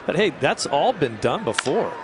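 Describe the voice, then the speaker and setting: A man's voice: a little high, very animated, moderate pace, background noise. A man talking as a baseball color analyst in the booth, rambling through anecdotes with repeated words. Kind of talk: broadcast booth banter.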